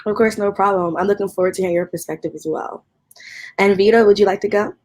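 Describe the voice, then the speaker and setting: A woman's voice: mid-range voice, steady tone, quick pace, a little mellow. Young woman moderator, friendly, reads prepared remarks. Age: young adult. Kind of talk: hosting a video-call panel.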